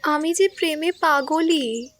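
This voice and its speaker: An actress playing a dreamy, lovesick young bride who speaks dramatically about love, in an audio drama. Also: female voice, high-pitched, slow, fairly bright tone.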